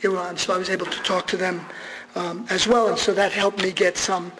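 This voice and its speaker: A man's voice: high-pitched, flat delivery, fairly quick, a bit bright, slight background noise, poor audio. A man whose first language is Armenian, giving a conversational public lecture with frequent restarts and fillers.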